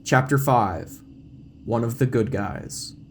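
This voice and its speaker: A man's voice: mid-range voice, slow pace, slight background noise. A man narrating a scripted audio drama in a dramatic, descriptive storytelling manner.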